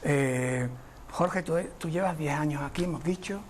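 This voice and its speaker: A man's voice: a little high, measured pace, slight background noise. A man hosting a radio program, leading a guest interview with friendly, short questions. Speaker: radio host.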